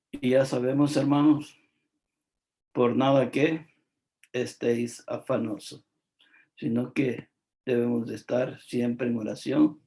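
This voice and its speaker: A man preaches a devotional sermon, speaking at a slow, unhurried pace. His voice is gravelly and mellow, and he leans on emphatic repetition with frequent fillers. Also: mid-range voice. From El Salvador.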